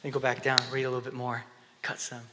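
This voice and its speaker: A man in his thirties preaching on a church stage, swinging between loud emphasis and hushed, whispered prayer. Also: medium pitch, completely flat delivery, fast, noisy background. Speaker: conference speaker. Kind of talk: sermon. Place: church stage before an audience. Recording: long reverb of a church hall.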